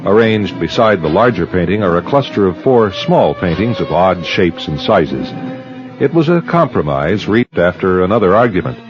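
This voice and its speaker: A solemn narrator of eerie radio tales. His voice is low, reading scripted drama narration. Music plays clearly behind him in a slightly dull recording. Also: very animated, normal speed. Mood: solemn, ominous.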